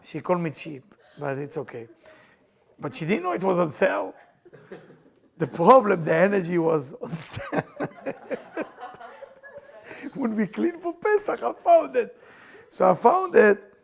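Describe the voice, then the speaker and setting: A middle-aged Israeli man telling stories in a playful, chatty way, his voice pitched high and full of gravel. His speech leaps up and down in pitch with every turn of a story, and laughter keeps slipping into his lines. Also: big pitch swings, a little slow, long pauses. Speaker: spiritual teacher and podcast host. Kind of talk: casual storytelling chat.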